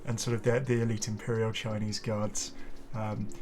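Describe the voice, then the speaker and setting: A man's voice: lowish voice, little pitch movement, normal speed, noisy background. A man hosting a gaming video, giving casual, chatty and enthusiastic commentary with rambling asides. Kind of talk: casual game showcase commentary. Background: music.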